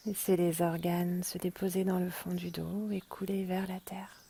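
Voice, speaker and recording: A woman guiding a relaxation meditation in a hushed, breathy, half-whispered, soothing voice, as a yoga nidra teacher. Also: lowish voice, level tone, moderate pace, a little hiss or hum. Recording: rain and birdsong behind the voice. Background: rain and birds.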